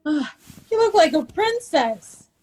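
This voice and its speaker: An actress playing a genteel, practical aunt in a radio drama, giving brisk, motherly commands. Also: very high-pitched, big pitch swings, a little mellow, heavily acted. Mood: fond, bustling. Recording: clear background noise with clicks.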